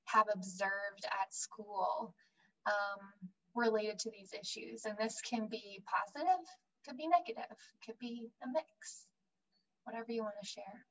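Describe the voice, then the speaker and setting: A woman moderating a panel discussion on a video call, polite and gently encouraging, with many "um"s. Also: medium pitch. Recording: distant from the mic.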